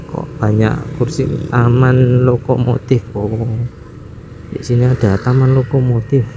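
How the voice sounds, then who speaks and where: A man's voice: mid-range voice, gravelly. A man, narrating his motorcycle ride casually, musing aloud and wondering where to go. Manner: unhurried, musing aloud, chuckling. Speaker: motovlog host. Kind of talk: casual narration while riding. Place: outdoors on a motorcycle in city traffic. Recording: street traffic noise behind voice. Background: traffic and engine noise.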